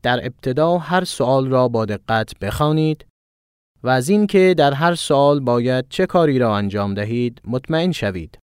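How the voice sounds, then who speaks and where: A man from Iran reading formal exam instructions in a brisk, even recitation. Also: mid-range voice, clear, resonant tone, no echo, studio quality.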